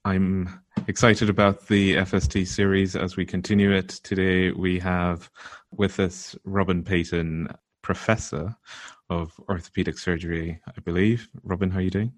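A man with a low voice hosts an interview podcast. He asks his questions in an upbeat, friendly way. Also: little pitch movement, moderate pace, dry recording. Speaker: podcast host. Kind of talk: hosting an interview.

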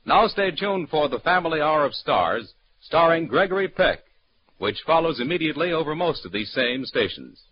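Animated male 1940s radio announcer, muffled. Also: on the high side, moderate pace, slight background noise. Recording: muffled 1940s radio broadcast, faint music.

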